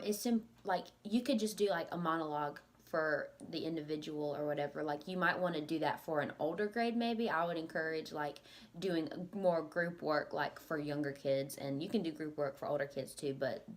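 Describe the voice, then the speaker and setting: A woman's voice: deep voice, slight background noise. A young woman co-presenting as a student teacher in a loose, conversational and tentative way. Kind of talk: casual instructional presentation.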